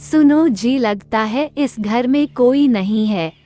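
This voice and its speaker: A woman's voice: a little high, dark tone. A woman voicing a curious, caring female crow who is the wife in a cartoon story. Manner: slow, questioning. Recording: music underneath.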